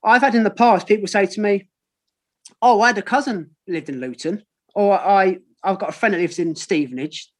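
A man's voice: high voice, normal speed. A man in his thirties with a London accent, hosting a casual football podcast with lots of ums and mates. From London, England. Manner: chatty, matey, lots of ums. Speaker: podcast host. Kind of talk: casual chat.

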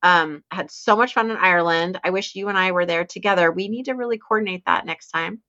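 A woman's voice: fairly low pitch, quick pace, bright, forceful tone, no echo, lo-fi sound. A woman co-hosting a podcast, chatting casually and rambling with restarts. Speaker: podcast co-host. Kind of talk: casual chat.

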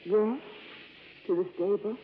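A woman playing a gentle, earnest American nun in a 1950s radio drama, polite and quietly determined. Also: medium pitch, takes it slowly, phone-quality sound, lightly acted. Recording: reverberant, band-limited, noisy old radio broadcast.

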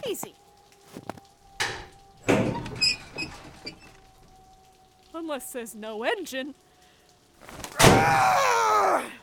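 Gritty stranded woman, slow delivery, defiant muttering, audio drama, loud noisy effects behind. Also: high-pitched, heavily acted. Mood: defiant, strained. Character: tough, defiant, street-smart female chemist stranded in a wasteland.

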